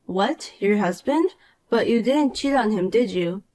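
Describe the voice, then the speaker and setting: A woman voicing a calm, cool married young woman in a dramatized story, dry and patient. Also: mid-range voice, a little slow, close to an everyday voice.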